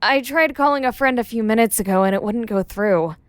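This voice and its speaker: A woman's voice: on the high side, fairly quick, clean tone, dry recording, natural voice. A woman playing a weary, easily irritated young traveler in an audio drama, muttering in exasperation. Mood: questioning.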